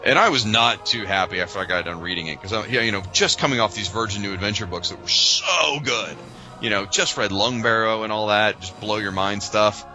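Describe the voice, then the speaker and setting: A man co-hosting a podcast, chatting casually and informally like an animated fan. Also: fairly low pitch, fairly quick, soft background music. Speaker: podcast co-host.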